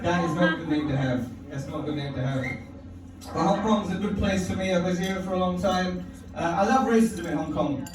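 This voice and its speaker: A man's voice: high voice, a little fast, a crowd in the background. A man from performing a stand-up set in a comedy club, wry and profane, chuckling at his own lines. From London. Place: comedy club stage, indoors. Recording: echoey club audio from far off.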